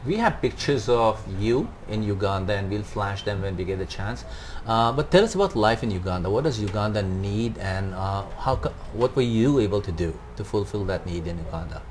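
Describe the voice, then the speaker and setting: A man hosting a TV interview show, opening with a lively scripted welcome and then asking relaxed questions. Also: on the low side, brisk, few pauses. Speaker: TV talk show host. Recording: faint background noise.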